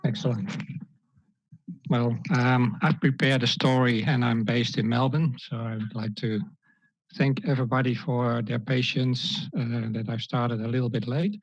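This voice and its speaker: A man's voice: medium pitch, steady tone, measured pace, dry recording. Hesitant Dutch-accented man on a call. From Netherlands. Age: 50s. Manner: hesitant, many fillers and restarts. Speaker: guest speaker. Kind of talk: online presentation talk. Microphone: video call. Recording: dull lo-fi call audio.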